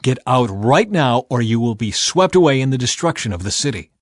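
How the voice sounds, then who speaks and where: Man acting stern angel, urgent commands, insistent, animated intonation, dramatized scripture audiobook. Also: mid-range voice, brisk, no room sound, studio quality, close to an everyday voice. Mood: urgent, commanding. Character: stern, insistent male angel giving warnings.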